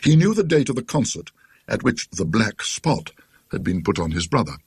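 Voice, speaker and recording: A man's voice: on the low side, big pitch swings, normal speed, husky, no room sound. A British man narrating a classic ghost story in a dry, scholarly, theatrical manner.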